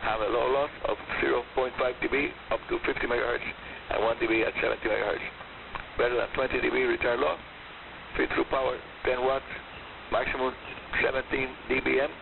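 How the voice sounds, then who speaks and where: Gravelly man on hissy shortwave radio. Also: mid-range voice, little pitch movement, fairly quick. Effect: shortwave radio reception. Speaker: radio programme host. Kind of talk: scripted shortwave radio programme presentation. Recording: hissy, narrow-band shortwave radio broadcast. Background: radio static and hiss.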